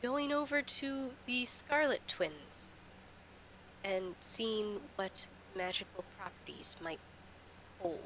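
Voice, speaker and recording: A woman acting as game master on a tabletop stream, improvising character dialogue and narration in halting, drawn-out phrases. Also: medium pitch, a bit bright, slight background noise, rough recording.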